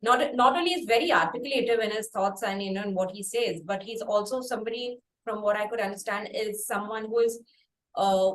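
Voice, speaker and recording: A woman's voice: mid-range voice, low-quality recording. A woman with an Indian accent hosting a conversational interview, phrasing questions in a wordy, hesitant, circling way. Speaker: interviewer, music show host.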